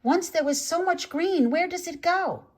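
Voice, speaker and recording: A woman's voice: very high voice, expressive, unhurried, voice pushed up. A woman voicing a swarm of fragile, frightened, lost butterflies in a storybook, delicate and pleading. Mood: lost, plaintive.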